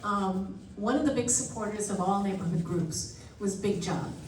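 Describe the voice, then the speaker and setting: A woman's voice: mid-range voice, normal speed, noisy background. A woman running a neighborhood watch meeting, telling a story and calling on people with questions. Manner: chatty storyteller. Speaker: meeting organizer. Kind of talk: chairing a community meeting. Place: church hall meeting room. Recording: echoey hall, speaker far from mic.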